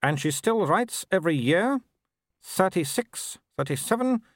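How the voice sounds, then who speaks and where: Smug young werewolf aristocrat, as a man puts him on, speaking a bit higher than the reader's own voice with calm, cold arrogance. The tone swings with quiet menace, recorded dry and close for an audiobook. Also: measured pace, no echo. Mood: cool. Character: arrogant athletic young male werewolf noble.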